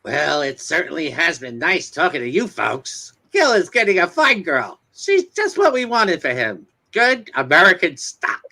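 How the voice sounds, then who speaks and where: A woman's voice: low voice, huge pitch swings, a little slow, hardly any pauses, pushed and bright, heavily acted. A woman portraying a proud, doting middle-aged mother in an amateur comic reading, genteel and chatty in her delivery. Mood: proud, polite. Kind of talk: amateur dramatic reading of a 1950s romance comic.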